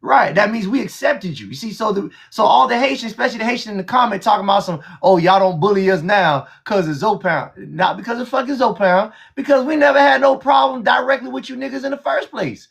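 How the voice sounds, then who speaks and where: A man's voice: high-pitched, fast talker, creaky, hardly any pauses, a bit bright, slightly rough. A man, arguing and pressing his point in a casual debate.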